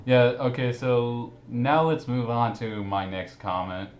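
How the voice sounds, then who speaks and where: A man's voice: medium pitch, unhurried, lo-fi sound. A man hosting a casual group commentary chat, talking informally with friends. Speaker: host. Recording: roomy echo with some background noise.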